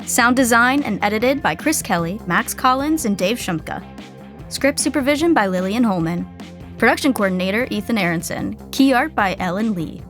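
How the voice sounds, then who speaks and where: Woman, scripted sitcom narration, comedic, fairly high pitch, background music. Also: expressive, normal speed.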